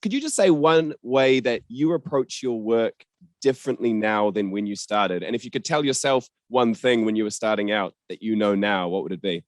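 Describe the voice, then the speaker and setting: A man's voice: fairly low pitch, normal speed, dry recording. A man, hosting a podcast and interviewing in a conversational style. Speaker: podcast host, journalist interviewer.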